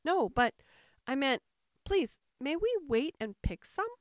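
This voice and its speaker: A woman's voice: on the high side, big pitch swings, moderate pace, dry recording, studio quality. A woman voicing a polite, curious, dreamy little girl in a storybook, earnest and wistful. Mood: eager, pleading. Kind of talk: storybook character voice.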